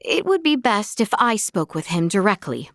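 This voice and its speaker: An actress playing an earnest, gracious young princess in a fantasy video game, with a clear youthful voice and formal, measured, archaic courtly phrasing. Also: female voice, medium pitch, big pitch swings, a bit bright, no room sound, studio quality. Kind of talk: scripted video game voice acting. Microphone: close studio mic, dry.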